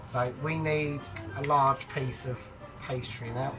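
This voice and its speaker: A man's voice: mid-range voice, steady tone, takes it slowly. A man chatting his way through a cooking tutorial, explaining each step with joking asides. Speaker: home cook. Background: music.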